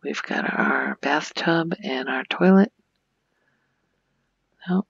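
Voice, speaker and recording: A soft, breathy woman gives an unhurried ASMR game tour, often dropping near a whisper. Her voice has a creaky edge, and the close, dry recording is slightly muffled. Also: a bass voice, no echo. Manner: gentle, unhurried, hushed. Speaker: video creator giving a game tour.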